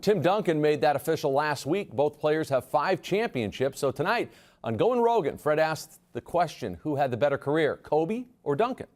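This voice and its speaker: High-pitched American man anchoring sports highlights. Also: lively intonation, moderate pace, a little mellow. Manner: punchy, playful delivery. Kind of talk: lively scripted sportscast narration over highlights.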